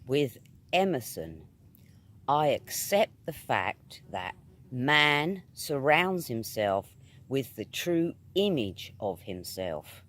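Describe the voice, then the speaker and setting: A man with a British accent reading a spiritual essay aloud in a measured, emphatic, oratorical manner. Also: medium pitch, sing-song, a bit bright. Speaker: reader of metaphysical essays.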